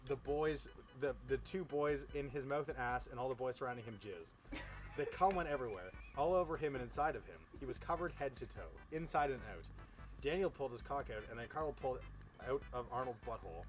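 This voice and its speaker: A man's voice: mid-range voice, poor audio. A man casually reading a story aloud outdoors, stumbling over words and breaking off into informal, profane asides. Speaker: host reading fan fiction aloud. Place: outdoors beside a fire.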